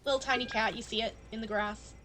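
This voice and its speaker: A woman's voice: fairly high pitch, steady tone, fast, bright, forceful tone, slight background noise. A woman live-streaming a game, chatting casually and playfully and speaking straight to her viewers. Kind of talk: casual chat to stream viewers during gameplay. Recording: background music behind voice. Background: music.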